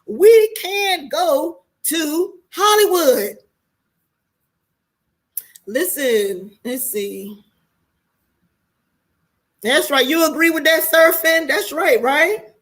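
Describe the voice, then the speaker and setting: High, bright-voiced American woman in her forties. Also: sing-song, extremely slow, pauses often. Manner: candid, colloquial, preachy, swears freely. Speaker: online show host talking to viewers. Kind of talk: casual livestream monologue.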